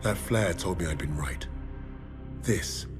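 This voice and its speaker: An actor playing a grave, measured British military squad leader narrating his memories in a war game. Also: sits low, lively intonation. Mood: resolute, grave. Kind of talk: scripted dramatic game narration. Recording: loud music under the voice. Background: loud cinematic music.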